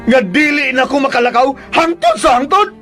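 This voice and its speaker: High-pitched, bitter young man in anguish. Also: lively intonation, normal speed, bright, forceful tone, full character voice. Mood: anguished, defiant. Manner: anguished, demanding outbursts. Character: bitter, distraught son who lost his legs. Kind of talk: Filipino radio drama acting. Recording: music behind.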